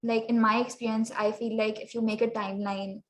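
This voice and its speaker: A young woman from Pakistan, raised speaking Sindhi, with a Pakistani English accent, giving a casual workshop presentation full of fillers and quick asides in Urdu. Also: on the high side, level tone. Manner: chatty, many fillers, slips into Urdu phrases.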